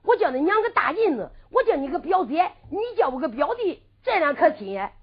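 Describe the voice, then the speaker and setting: Wheedling young male peddler, played by a woman; Henan accent, lively high delivery. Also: a little fast. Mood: sly. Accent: Henan dialect. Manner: coaxing, bargaining sing-song. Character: clever young man, wheedling traveling peddler. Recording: muffled lo-fi recording.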